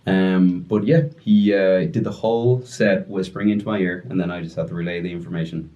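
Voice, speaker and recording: A young man telling a story as a podcast guest, joking and rambling with quick asides. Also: deep voice, fairly even intonation, dark tone, dull audio. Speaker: podcast guest, music producer and DJ. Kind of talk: casual storytelling chat.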